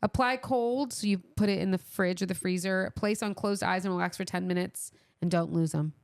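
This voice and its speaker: A woman with a creaky voice, co-hosting a podcast in casual, enthusiastic banter with a playfully demanding way of talking. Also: on the low side, fairly quick. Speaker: podcast co-host.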